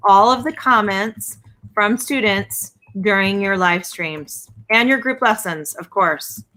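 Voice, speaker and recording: Bright-voiced woman hosting an interview. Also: mid-range voice, slow pace, low-quality recording. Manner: encouraging, clarifying, plain explanations. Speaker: interviewer host. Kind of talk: casual interview talk.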